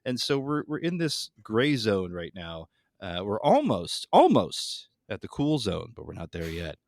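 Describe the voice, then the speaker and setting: A man's voice: on the low side, huge pitch swings, a little slow, vocal fry, darkish tone, no room sound, studio quality. A man as a podcast guest, giving casual political commentary in a wry, rambling way.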